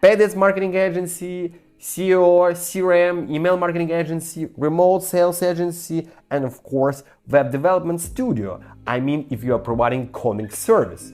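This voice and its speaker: A woman's voice: fairly low pitch, moderate pace, few pauses, warm tone, soft background music. A woman with a Ukrainian accent giving a scripted business master-class, speaking emphatically and persuasively straight to the listener. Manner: emphatic, persuasive, addressing the viewer directly. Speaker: startup founder, business course presenter.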